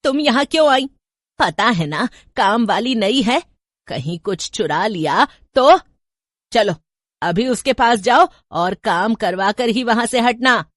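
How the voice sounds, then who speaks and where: Woman as old mother-in-law, scolding, sarcastic, sharp questions, raised pitch, horror storybook, dry sound. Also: lively intonation, slow pace, dry recording. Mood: angry, scolding. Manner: grumbling, sharp rhetorical questions. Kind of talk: Hindi horror storybook narration with voices.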